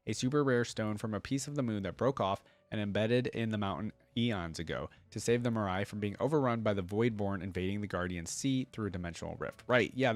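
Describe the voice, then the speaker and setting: Man, low voice, rapid pace, reading aloud with casual commentary, dry close sound. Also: no room sound. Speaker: reaction video host.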